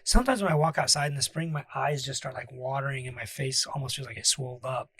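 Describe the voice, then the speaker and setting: A man hosting a casual interview, talking in a nasal, raspy, slightly congested voice, rambling with lots of fillers and restarts. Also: medium pitch, fast talker, fairly bright tone, no echo. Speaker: host, obesity medicine doctor.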